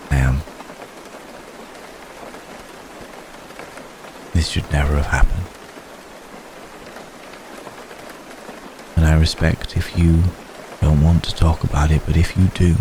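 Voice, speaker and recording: A man playing an ancient, gentle, courtly vampire in an intimate ASMR roleplay, speaking tenderly in measured, formal phrases with hesitant pauses. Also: very deep voice, noisy background. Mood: gentle concern. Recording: close recording with steady rain ambience.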